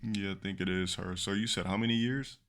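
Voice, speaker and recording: A man's voice: deep voice, fairly even intonation, a little fast, a bit bright, a little hiss or hum. A man streaming and reacting to clips, talking bluntly and thinking aloud with lots of swearing. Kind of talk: casual reaction commentary.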